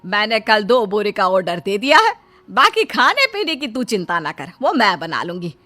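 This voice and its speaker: A woman's voice: mid-range voice, huge pitch swings, normal speed, bright, forceful tone. A woman playing a bossy, sarcastic middle-aged Indian mother-in-law in a cartoon, scolding theatrically. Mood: spiteful. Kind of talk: Hindi cartoon story dubbing.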